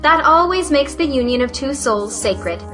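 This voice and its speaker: A woman's voice: high-pitched, lively intonation, normal speed, bright, forceful tone, soft background music. A woman playing a cynical, mocking young bride-to-be in an audio play, delivered theatrically. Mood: bitter sarcasm.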